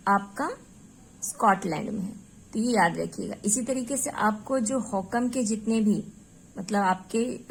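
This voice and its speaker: Rhetorical-questioning woman teacher, slightly high voice. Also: darkish tone, slight background noise, low-quality recording. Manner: explains step by step, asks rhetorical questions and answers them; mixes Hindi with English terms. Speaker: exam-prep teacher. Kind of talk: exam-prep lecture.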